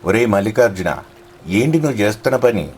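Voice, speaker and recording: A man's voice: on the low side, expressive, fairly quick, darkish tone, soft background music, natural voice. A man voicing a haughty village fisherman in a moral story, scolding and domineering. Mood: angry, scornful.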